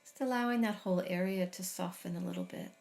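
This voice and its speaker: Middle-aged woman, low-ish voice, calm slow meditation guide. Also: gravelly, soft background music. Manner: slow, soft, soothing guidance with long pauses. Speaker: meditation teacher. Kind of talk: guided body scan meditation.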